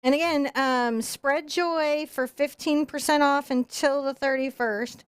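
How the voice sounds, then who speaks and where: A woman's voice: high-pitched, fairly even intonation, unhurried, no echo. A woman giving a live painting lesson, chatting and laughing while she explains each brushstroke. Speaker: painting instructor.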